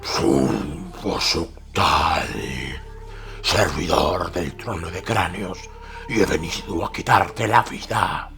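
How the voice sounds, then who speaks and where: Monstrous gravelly warrior, extremely deep. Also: male voice, a bit bright, faint music behind, exaggerated voice. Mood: menacing. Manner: menacing, slow, barking challenges. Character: savage horned Chaos warrior of a blood god. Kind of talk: audio drama villain acting.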